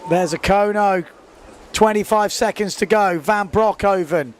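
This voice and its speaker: A man giving live sports commentary, excitedly calling out scores and time left on the clock. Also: high-pitched, a little slow.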